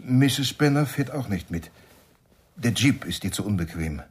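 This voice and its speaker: An actor playing a polite, matter-of-fact household handyman with a husky voice in a radio crime drama. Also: on the low side, lively intonation, unhurried, exaggerated voice. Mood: polite, dry.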